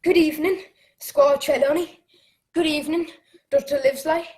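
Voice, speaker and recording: An earnest boy played by a woman telling his adventure in a radio play, in a high voice. The delivery is careful and respectful, like storytelling. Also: fairly even intonation, normal speed, darkish tone, lightly acted. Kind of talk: narrated radio drama.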